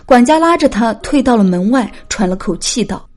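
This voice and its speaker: A woman narrates an audiobook in a high, very soft-toned, mellow voice. Her delivery is dramatic, scripted storytelling. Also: lively intonation, moderate pace.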